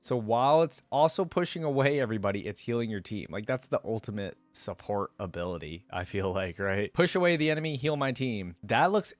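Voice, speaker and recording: A man with a mid-pitched voice talks like an enthusiastic reaction host, informal and unscripted. He thinks out loud as he comments. The sound is dry, with very little room in it. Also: animated, brisk, few pauses, no echo, soft background music. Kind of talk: casual reaction commentary with some reading aloud.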